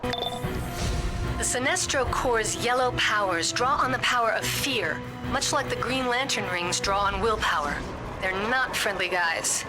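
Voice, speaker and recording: A woman's voice: fairly high pitch, a little slow, over music, close to an everyday voice. An actress playing an alert, casual female mission-support operator explaining objectives in a video game. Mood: alert, concerned.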